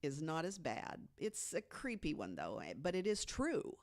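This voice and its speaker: A woman on a podcast, casually telling an urban legend, with lots of "you know" and hushed tones for the scary moments. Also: low voice, huge pitch swings, measured pace. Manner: chatty storyteller, fills with "you know", drops to hushed tones for the scary parts. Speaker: podcast co-host. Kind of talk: casual storytelling chat.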